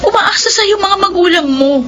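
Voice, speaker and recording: An actress playing a determined, grieving twenty-year-old orphaned eldest sister in an emotional Filipino radio drama. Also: female voice, very high-pitched, lively intonation, moderate pace, a bit bright, muffled recording, faint music behind. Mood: hurt, defiant. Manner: earnest, emotional. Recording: noisy radio drama track.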